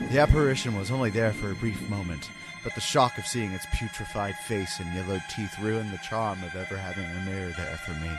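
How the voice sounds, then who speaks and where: A man performs a haunted family man confessing his ordeal in a creepy horror story. His low voice is earnest, theatrical and full of foreboding, with music clearly playing underneath. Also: lightly acted. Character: haunted husband and father confessing a terrifying ordeal. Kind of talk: dramatic first-person horror narration. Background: background music.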